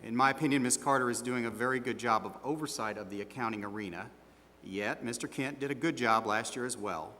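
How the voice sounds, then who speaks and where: A man's voice: mid-range voice, fairly quick, bright, forceful tone, natural voice. A man playing a defensive auditor at a hearing in an amateur stage dramatization, speaking formally and a little hesitantly. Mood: measured, defensive. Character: defensive male auditor questioned at disciplinary hearing. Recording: echoey hall, long reverb.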